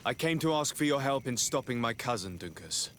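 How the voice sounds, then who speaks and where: An actor playing an earnest young nobleman in a video game, speaking formally and gravely as a resolute envoy. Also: mid-range voice, expressive, a little fast, fairly bright tone, a little hiss or hum, lightly acted. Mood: grave urgency. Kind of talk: dramatic video game dialogue.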